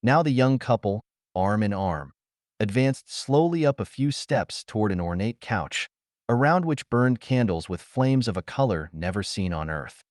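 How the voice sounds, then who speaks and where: A man's voice: lowish voice, lively intonation, normal speed, no room sound, clean studio sound. A man narrating a story as an audiobook, reading scripted prose dramatically.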